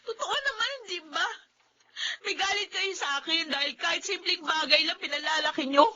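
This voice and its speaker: Woman playing a very high-voiced, wounded young Filipina. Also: moderate pace, sharp tone, a little hiss or hum. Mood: hurt protest. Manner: plaintive, emotional protesting. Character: hurt, defiant young granddaughter, anxious and wounded. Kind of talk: radio-style melodrama acting. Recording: dull lo-fi sound under music.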